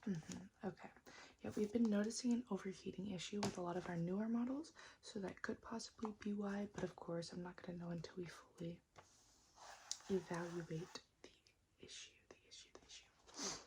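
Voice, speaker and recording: A woman doing a soft-spoken, often whispered ASMR roleplay as a technician, gently reassuring and repeating words under her breath, close to the mic. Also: mid-range voice, steady tone, moderate pace, background noise. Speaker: ASMR performer role-playing a robot technician.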